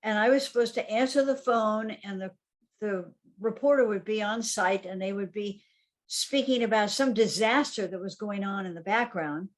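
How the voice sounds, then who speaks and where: An older woman, a guest author, recalling her life in a reflective way, with frequent ums and soft laughs. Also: mid-range voice, moderate pace. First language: English. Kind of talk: conversational interview storytelling.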